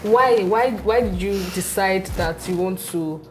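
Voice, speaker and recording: A woman's voice: mid-range voice, a little slow, soft background music. A woman hosting an interview show, bubbly and teasing. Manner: bubbly, teasing, laughs often. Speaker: host.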